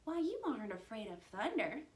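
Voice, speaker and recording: A woman voicing a kindly, encouraging old country grandmother in a storybook, tender, coaxing and expressive. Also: a little high, fairly quick. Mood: reassuring. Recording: roomy echo with faint background noise.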